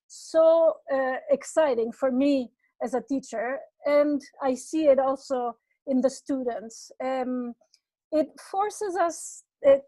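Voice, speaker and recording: A woman's voice: high voice, no room sound, low-quality recording. A middle-aged woman from Italy with an Italian accent, a professor speaking earnestly on a video-call webinar panel, often restarting her sentences. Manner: warm, earnest, with many restarts.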